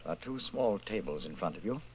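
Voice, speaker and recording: An actor playing a solemn narrator in an old-time radio drama, telling the story theatrically. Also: male voice, low voice, lively intonation, fairly quick, gravelly, slightly rough, exaggerated voice, low-quality recording. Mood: solemn, ominous. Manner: theatrical, second-person storytelling. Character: solemn male radio drama narrator addressing listener as protagonist.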